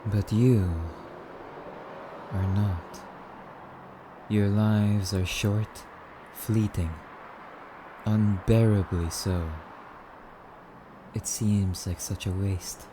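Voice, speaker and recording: A man playing a suave, courtly immortal vampire in an ASMR roleplay, speaking in formal, theatrical, rambling musings with drawn-out phrases. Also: on the low side, steady tone, noisy background. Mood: philosophical, musing.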